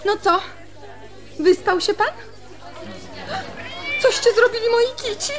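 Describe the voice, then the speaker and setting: An actress playing a haughty, indignant schoolmistress in a radio drama, scolding with scorn. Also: female voice, very high voice, a little slow, crowd noise. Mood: spiteful, scolding. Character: haughty, indignant schoolmistress fussing over her cat. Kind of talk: Polish radio drama acting.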